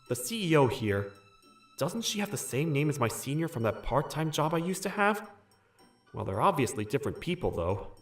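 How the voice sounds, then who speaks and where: A male actor plays a young salaryman in a manga dub, mid-pitched and expressive. He is earnest and put-upon, recounting his working life as a story, with faint music underneath. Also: lightly acted. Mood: hopeful, musing. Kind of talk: manga dub narration and dialogue.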